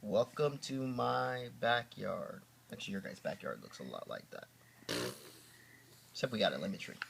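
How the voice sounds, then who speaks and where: A man reading a children's story aloud as a father, with theatrical, drawn-out emphasis and chatty asides. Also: fairly low pitch, big pitch swings, creaky, noisy background.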